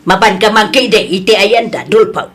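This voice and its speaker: A woman's voice: medium pitch, animated, moderate pace, fairly bright tone, natural voice. A woman acting a pleading, put-upon mother in debt, with an Ilocano Filipino accent, in an audio drama. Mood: indignant.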